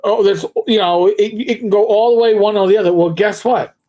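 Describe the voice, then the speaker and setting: A man co-hosting a livestream, chatting casually about tabletop games and spinning rambling anecdotes with slow, drawn-out emphasis. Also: sits high, dark tone. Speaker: livestream co-host.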